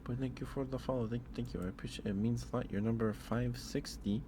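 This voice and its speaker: A soft-spoken man chatting casually as an ASMR livestream host, in a hesitant, rambling way. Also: mid-range voice, fairly even intonation, quick pace, noisy background. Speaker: live streamer and host.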